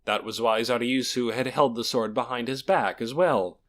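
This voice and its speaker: A man's voice: mid-range voice, moderate pace. A man narrating a fantasy audiobook in a dramatic, scripted storytelling style.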